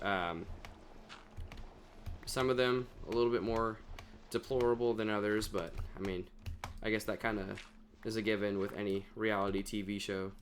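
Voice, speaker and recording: A young man chatting casually over his gameplay, his voice deeper than usual and talking to himself as he plays. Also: a bit bright, slight background noise. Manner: chatty, talks to himself while playing. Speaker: gaming video creator.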